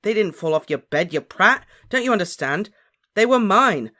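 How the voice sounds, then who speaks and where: A man's voice: high voice, big pitch swings, a little fast, a bit bright, voice pushed up. A man voicing a practical, exasperated teenage boy wizard with an emphatic, impatient delivery for a storybook. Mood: exasperated, alarmed.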